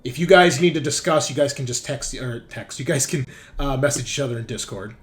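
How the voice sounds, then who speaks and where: A man's voice: mid-range voice, brisk. A man running a tabletop roleplaying game as game master in a casual, conversational style.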